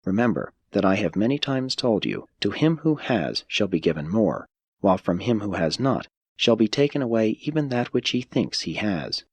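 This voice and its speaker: A man voicing an earnest, authoritative male religious teacher in an audiobook, speaking solemnly and deliberately to instruct. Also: on the low side, sing-song, slightly rough, dry recording, heavily acted. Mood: earnest teaching. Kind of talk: audiobook character reading.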